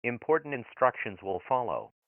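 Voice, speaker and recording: A man playing a government emergency announcer, reading alert instructions in a flat, formal, measured way. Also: mid-range voice, no echo. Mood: urgent. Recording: tinny phone-like dry audio.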